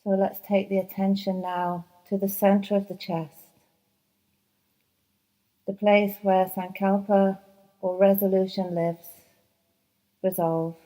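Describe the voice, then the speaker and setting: A woman teaching yoga nidra, guiding a relaxation meditation in a soft, soothing voice, slow and unhurried with long pauses. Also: mid-range voice, little pitch movement, low-quality recording. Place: yoga training course.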